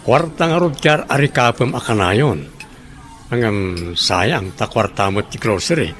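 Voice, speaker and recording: A man's voice: medium pitch, expressive, takes it slowly, a bit bright. A man playing an amiable older suitor in a radio drama, speaking with an Ilocano accent. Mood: courting.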